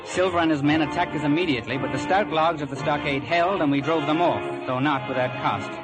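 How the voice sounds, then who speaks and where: A man's voice: fairly high pitch, fast talker, loud music behind the voice, lightly acted. An actor playing an earnest narrator telling his own pirate adventure in a vintage radio drama. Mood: earnest, suspenseful. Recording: old radio recording.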